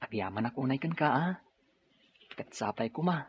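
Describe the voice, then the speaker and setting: A man's voice: medium pitch, expressive, moderate pace, slight background noise, natural voice. A young man with an Ilocano Filipino accent acting a grateful, good-natured eldest son in an audio drama. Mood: fond. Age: young adult. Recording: dull lo-fi audio with music.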